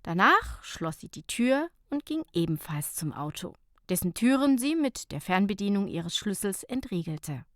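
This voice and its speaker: A woman's voice: mid-range voice, sing-song, normal speed, dry recording, clean studio sound. A woman narrating a light, humorous short story as an audiobook reader, in a scripted reading style.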